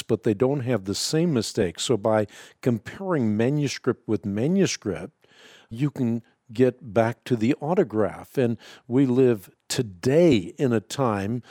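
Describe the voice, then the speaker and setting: Dutch-native male radio host, authoritative explainer, mellow tone, dry studio. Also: medium pitch, dry recording. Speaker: radio show host answering callers. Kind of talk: call-in radio Bible answers.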